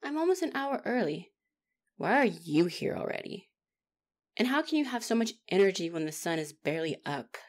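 A woman acts out a young demigod trainee in an audio roleplay. She is feisty and chatty, easily flustered, with a lively swing in pitch. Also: moderate pace, close to an everyday voice. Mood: wry, casual. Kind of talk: ASMR roleplay acting.

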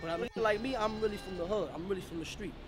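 A man's voice: high-pitched, fast talker, background noise. A man, a rapper, talking emphatically in street slang and repeating himself for emphasis. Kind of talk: casual interview.

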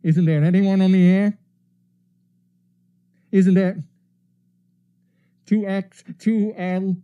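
An actor playing a lone, desperate radio operator calling out repeatedly, in 1930s radio drama style. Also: male voice, sits high, fairly even intonation, smooth. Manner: slow, repetitive, calling out. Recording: dull lo-fi radio transmission.

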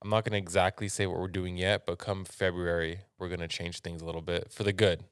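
A young American man with a low voice makes casual conversation as a podcast co-host. He is close on the microphone, and the small studio sounds dry. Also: little pitch movement, fast, smooth, dry recording. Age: young adult. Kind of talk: casual chat. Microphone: close studio mic on boom arm, headphones.

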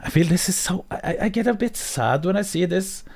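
A man in his thirties with a Norwegian accent, chatting slowly and hesitantly on a live stream from his home setup, with plenty of fillers and restarts. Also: high voice, expressive, darkish tone. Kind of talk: casual live-stream chat. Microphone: studio mic on boom arm, headphones.

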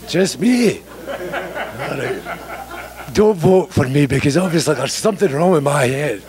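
A man's voice: fairly high pitch, animated, a little slow, a crowd in the background. A middle-aged man from Scotland with a Scottish accent, introducing himself as a mayoral candidate at a forum in a joking, rambling way, speaking into a handheld mic in a library meeting room. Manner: joking, rambling, self-deprecating. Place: library meeting room, standing before seated audience.

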